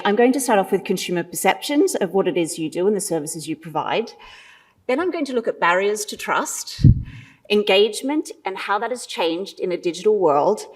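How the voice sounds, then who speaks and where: A woman in her forties with an Australian accent, giving a prepared talk at a conference and stressing key words as she goes. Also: lowish voice. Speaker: conference speaker.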